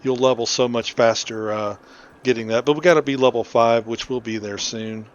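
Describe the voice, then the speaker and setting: A man's voice: mid-range voice, steady tone, normal speed. A man giving casual, explanatory commentary as he hosts a game playthrough. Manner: informal, explanatory. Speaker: gaming video host. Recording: slightly dull audio, faint noise.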